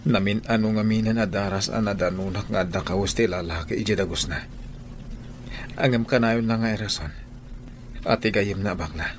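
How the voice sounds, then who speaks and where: An actor playing a weary, suspicious, grumbling husband in a radio comedy drama. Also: male voice, lowish voice, steady tone, moderate pace, pauses often, close to an everyday voice. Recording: background noise, ambience and vehicle sounds.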